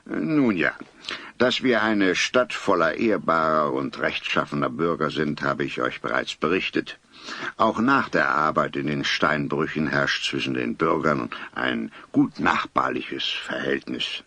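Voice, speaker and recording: An actor playing a dignified old grandfather in a German audio drama, speaking in a grave, formal, old-fashioned way with a husky voice. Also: rumbling low, expressive, unhurried, bright, forceful tone, no room sound, full character voice. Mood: solemn, awed. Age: older.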